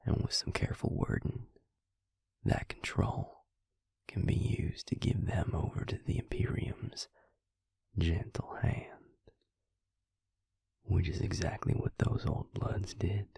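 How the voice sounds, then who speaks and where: A man playing a devious, smug male vampire in an intimate audio roleplay, taunting his victim slowly in a breathy, gravelly whisper. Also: deep voice, fairly even intonation, darkish tone, no echo, studio quality, exaggerated voice. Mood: smug, explaining. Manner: slow, taunting, self-satisfied.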